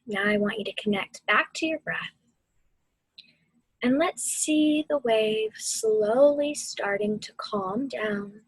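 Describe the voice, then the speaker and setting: A woman mindfulness instructor speaks very slowly in a soft, airy voice that often sinks toward a whisper. Her delivery is soothing and deliberate, as in a guided meditation for children. The sound is close and dry. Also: high voice, sing-song, creaky, husky, no room sound, poor audio. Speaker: mindfulness instructor and host of a weekly video series.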